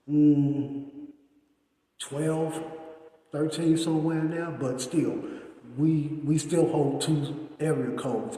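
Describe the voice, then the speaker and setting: Man vlogging, rambling and repetitive, slightly high voice, very roomy echo. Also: mellow. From Austin, Texas. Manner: rambling, repeats himself. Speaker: YouTuber. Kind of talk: casual talk to camera.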